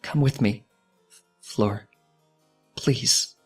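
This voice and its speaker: A man's voice: mid-range voice, extremely slow, breathy edge, soft background music. A man voicing a tearful young male pony in love, pleading in a dramatic fanfiction reading.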